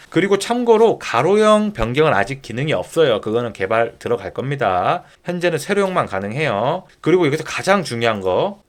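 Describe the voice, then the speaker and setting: Man explaining software, very lively pitch swings. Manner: step-by-step, poses questions then answers them. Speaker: software tutorial presenter. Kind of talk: informal screen-share tutorial explanation.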